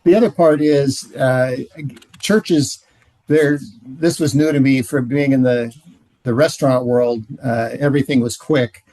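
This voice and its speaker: A middle-aged man giving a relaxed training talk, telling stories with frequent "uh"s. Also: medium pitch, a little slow, dry recording, rough recording. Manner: relaxed storytelling, many "uh"s. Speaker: ministry trainer.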